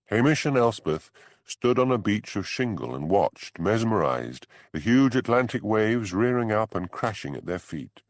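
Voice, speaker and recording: A man's voice: fairly low pitch, very animated, normal speed, no room sound. A man reading a novel aloud as a scripted audiobook narration.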